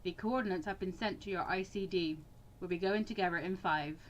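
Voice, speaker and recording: Woman putting on a terse, lower-voiced male mentor. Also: fairly quick, a little hiss or hum, close to an everyday voice. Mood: curt. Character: gruff older male mentor, cold and dismissive.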